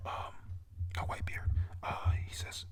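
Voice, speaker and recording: A young adult whispering a rambling, excited play-by-play recap at home, full of fillers. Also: measured pace. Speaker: anime episode recapper. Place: at home with family nearby.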